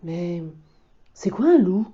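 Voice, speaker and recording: A woman voicing a gentle, forgetful young male wolf who talks hesitantly in a storybook reading, on a slightly dull recording. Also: fairly low pitch, sing-song, slow pace, husky, exaggerated voice. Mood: puzzled. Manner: hesitant, stammering.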